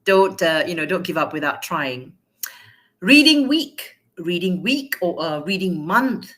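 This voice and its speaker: Woman, teacher trainer, lower-pitched, lively presenter tone. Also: measured pace. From Malaysia. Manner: lively, chatty, asks and answers her own questions.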